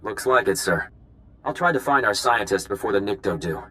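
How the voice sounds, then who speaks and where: A man playing a dutiful, practical field agent in a video game, brisk and frank. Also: fairly low pitch, lively intonation, sharp tone, clear, resonant tone. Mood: businesslike, focused.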